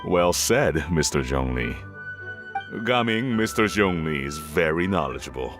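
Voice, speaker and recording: An old tea merchant, deep slow voice, super polite. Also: male voice, expressive, music in the background. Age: older. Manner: courteous, slow, deferential. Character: older male tea merchant, polite and fatherly. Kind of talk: game voice acting.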